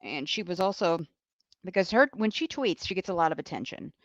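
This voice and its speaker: A slightly muffled, lo-fi recording of a mid-pitched voice in casual podcast commentary. The sound is very dry. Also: big pitch swings, fairly quick, fairly bright tone, dry recording. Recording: dull, lo-fi sound.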